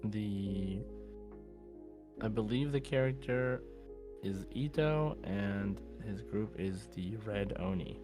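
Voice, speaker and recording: Lowish-voiced guy chatting casually. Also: slow, music in the background. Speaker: podcast co-host. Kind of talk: casual chat.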